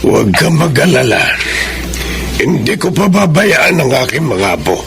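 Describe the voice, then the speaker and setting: Radio drama grandfather: elderly Filipino, protective and earnest, creaky voice pitched a bit high. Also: sing-song, normal speed, exaggerated voice. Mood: reassuring. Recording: loud music under the voice.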